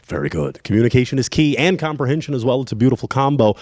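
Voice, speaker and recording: An animated man hosting a dating game show, quick and upbeat as he steers the contestants. His pitch jumps around a lot, and he talks fast. The sound is dry and close. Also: dry recording. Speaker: host.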